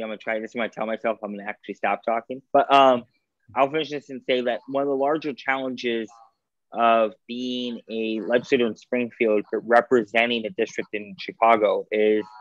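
A man's voice: lowish voice, muffled recording. A man, a state senator, speaking earnestly and at length in a virtual town hall. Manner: earnest, self-aware, rambling. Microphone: video call.

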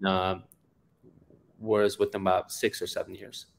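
A man's voice: deep voice, flat delivery, unhurried, gravelly. A man in his forties from Afghanistan, a founder telling his background on a video call with many ums and uhs. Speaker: guest, company founder. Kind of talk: interview storytelling. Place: office indoors. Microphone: webcam on a video call.

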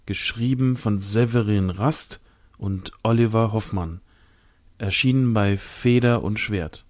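A man's voice: lowish voice, a little mellow. A man reading scripted audiobook titles and quotations as an announcer. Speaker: audiobook reader.

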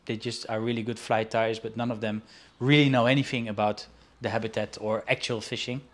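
A man in his forties from the Netherlands, talking in a casual interview from a shelf-lined room over a video call, pausing often with fillers and restarts. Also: on the low side, flat delivery. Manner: halting, with frequent fillers and restarts. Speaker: guest artist and angler. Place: indoor studio room with shelves.

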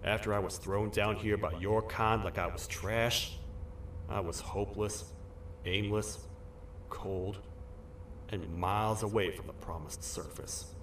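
Low-voiced toad sheriff. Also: male voice, steady tone, a little slow, a bit bright, distant mic, slight background noise, low-quality recording. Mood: earnest. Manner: folksy lawman talk, earnest. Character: massive toad sheriff, loyal gruff protector of a queen. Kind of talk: video game character acting.

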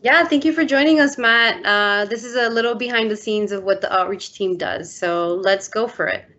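Uma mulher de uns trinta anos, apresentadora de um programa infantil, lendo um livro ilustrado devagar e com calma numa chamada de vídeo. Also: female voice, a little high, normal speed. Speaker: outreach storytime host. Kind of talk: bilingual children's book reading. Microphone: laptop webcam on video call. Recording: muffled video call audio.

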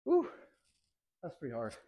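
A young man talks through his arm workout in a home gym, his voice a little high for a man. He rambles loosely between sets and sounds winded from the lifting. The camera sits across the room. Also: wildly swooping pitch, a little slow, narrow, tinny audio, slight background noise. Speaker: fitness vlogger. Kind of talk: casual commentary during a workout.

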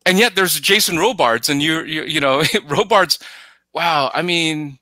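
A middle-aged man co-hosting a podcast, chatting casually in an excitable, halting way as phrases pile up. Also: on the high side, no room sound. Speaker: podcast co-host. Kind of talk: casual film discussion. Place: indoor room, on video call.

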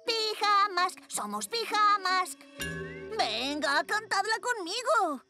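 A performer voicing an eager young boy superhero in a cat costume, with a Castilian Spanish accent, earnest and excitable in a children's cartoon dub. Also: very high-pitched, slow pace, full character voice. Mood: pleading. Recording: loud music behind. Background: loud cartoon score.

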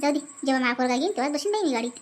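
Woman voicing a shrill jealous Marathi village aunt. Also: expressive, very fast. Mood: envious, wishful. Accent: Varhadi Marathi from Vidarbha. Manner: nosy, scornful, muttering to herself. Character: jealous, spiteful, boastful middle-aged village aunt. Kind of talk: comic cartoon dialogue.

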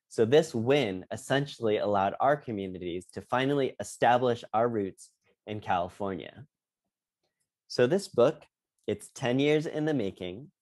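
A man's voice: on the low side, a little mellow. A man in his thirties delivering a prepared announcement on a video call as an organization's board member. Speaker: historical society board member. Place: indoors, video call with virtual background. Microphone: wired earphone mic on a video call.

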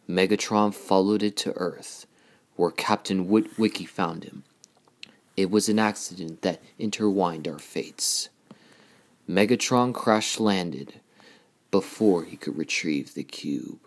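A man voicing a noble, solemn giant robot leader, declaiming a movie monologue gravely in a measured way. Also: deep voice, voice pushed down. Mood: grave, resolute. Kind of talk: movie monologue in amateur voice acting.

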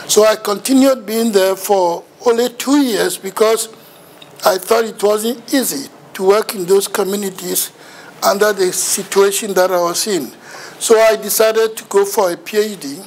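A man's voice: sits high, normal speed, low-quality recording. A middle-aged man with a Ghanaian accent giving a seminar lecture in a lecture room, telling his story with self-mocking humour. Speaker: visiting university lecturer. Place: lecture room in front of a projection screen.

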